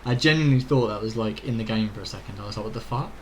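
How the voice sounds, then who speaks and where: A man's voice: on the low side, rapid-fire. Informal British male game streamer, faint background noise. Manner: informal, rambling, mild swearing. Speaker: video game live streamer. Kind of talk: casual chat to viewers during gameplay. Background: faint game ambience.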